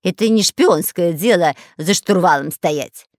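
A woman voicing a whiny, boastful, excitable male bandit spy in a children's storybook. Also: lowish voice, measured pace, no room sound, clean studio sound, natural voice. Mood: offended. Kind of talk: children's storybook character acting.

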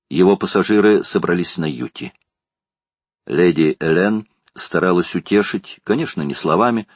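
A man reading a classic adventure novel aloud as an audiobook narrator, in a measured, even storytelling manner. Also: low voice, breathy edge, no room sound.

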